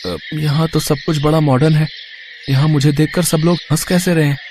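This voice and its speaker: Man acting a high-pitched, naive village youth. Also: fairly even intonation, a little fast, a little mellow, a little hiss or hum, lightly acted. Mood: anxious. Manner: simple, wide-eyed, hesitant. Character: naive, earnest young village man, eager student.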